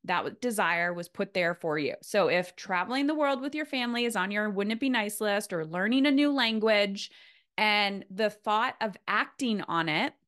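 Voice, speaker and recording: A woman's voice: mid-range voice, fairly bright tone. Emphatic woman podcast host, coaching style. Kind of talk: casual coaching talk in an interview.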